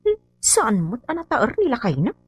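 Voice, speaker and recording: Nosy housewife, Ilocano accent, high voice, exaggerated gossip, comedy radio drama, music underneath. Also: female voice, wildly swooping pitch, normal speed, husky, no room sound, clean studio sound, full character voice. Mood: prying, sympathetic.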